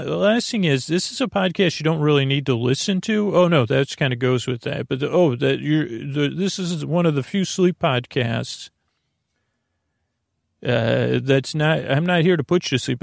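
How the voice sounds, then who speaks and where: Creaky-voiced man, rambling bedtime podcast host. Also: medium pitch, a little mellow, no echo, studio quality. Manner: meandering, tangled, self-deprecating. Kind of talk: rambling bedtime monologue to the listener.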